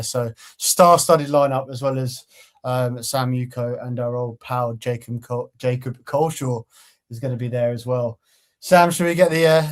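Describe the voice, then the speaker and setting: A man co-hosting a football fan channel in easygoing casual chat, often pausing with um. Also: mid-range voice. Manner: easygoing, fills pauses with um.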